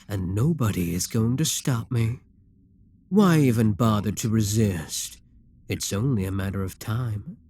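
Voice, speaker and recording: A man playing an arrogant, seductive demon king in a dramatic ASMR roleplay, speaking in a deliberate, commanding, theatrical way. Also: lowish voice, darkish tone. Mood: smug, menacing.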